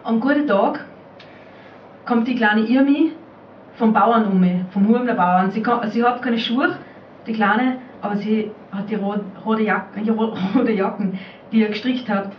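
A woman with a fairly high voice recites spoken word in Austrian dialect, in the role of an old country mother. She is recorded live in an echoey room, some way from the microphone. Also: a little slow. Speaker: poetry slam performer. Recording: roomy, distant, muffled live recording, faint noise.

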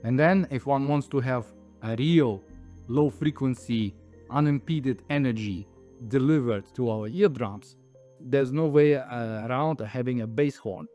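A man in his thirties or forties, an audio designer, explaining products to camera on a lapel mic in a halting, emphatic way. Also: mid-range voice, mellow. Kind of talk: explaining products to camera, semi-scripted talk. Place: indoor audio showroom. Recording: music playing behind the voice. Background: music.

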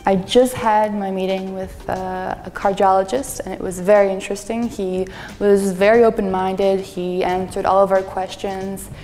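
A woman's voice: mid-range voice, level tone, normal speed, mellow. A young woman student from the United States, speaking earnestly in a testimonial interview on a lapel mic. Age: young adult. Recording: reverberant hall with light music.

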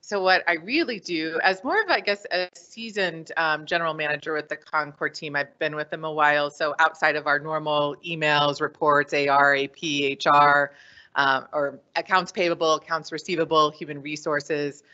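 A woman's voice: deep voice, steady tone, normal speed, bright, forceful tone. A woman hotel general manager speaking on a panel in a conference room, listing her duties with many fillers. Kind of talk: panel discussion. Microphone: handheld microphone. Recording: dull lo-fi sound.

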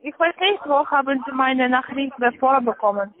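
A woman with a foreign accent in German, giving insistent, halting, repetitive step-by-step instructions as a call centre agent on a phone call. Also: high-pitched, no room sound. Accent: foreign, non-native German. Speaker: call centre agent selling a trading account. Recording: tinny band-limited phone line.

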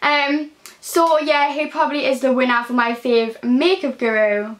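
A woman's voice: sits high, fairly even intonation, a little slow. A teenage girl with a Geordie accent chatting casually in a bedroom Q&A vlog, full of ums and likes, recorded on a phone. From Newcastle, England. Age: early teens. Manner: chatty, many ums and likes, gestures while talking. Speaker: beauty vlogger. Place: small bedroom indoors. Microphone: phone camera at close range.

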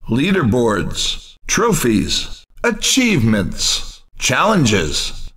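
An excitable arcade racing game commentator with a wildly swooping, forceful voice. He draws out short, theatrical calls very slowly, with a teasing edge. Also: medium pitch, husky, recorded from a distance, exaggerated voice. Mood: upbeat announcing. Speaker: video game race commentator.

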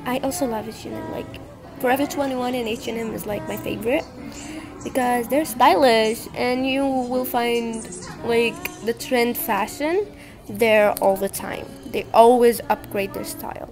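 Young female vlogger, chatty and upbeat, slightly high voice over background music. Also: steady tone, measured pace. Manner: chatty and enthusiastic, frequent fillers. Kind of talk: casual travel vlog narration. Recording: music playing underneath.

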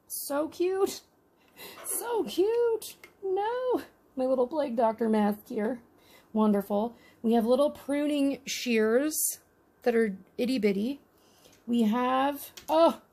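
A woman with a fairly high voice and plenty of vocal fry hosts a casual unboxing. She talks in an informal, gushing way, easily delighted by small things. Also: darkish tone. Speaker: host of a miniature toy unboxing.

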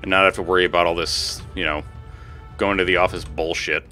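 Deep-voiced man chatting on a game stream. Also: flat delivery, fairly quick, bright, forceful tone. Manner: informal, slangy, frequent swearing. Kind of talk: casual livestream chat while gaming. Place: home room. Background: music.

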